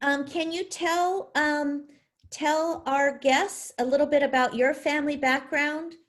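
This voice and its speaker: High-voiced woman moderating questions. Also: steady tone, measured pace, fairly bright tone. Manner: polite, brief prompting questions. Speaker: event moderator.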